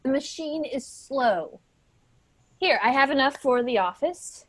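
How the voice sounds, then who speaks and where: An actress plays a put-upon office assistant in a dark stage comedy. Her voice is high and swoops a lot, harried and defensive. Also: lively intonation, a little slow.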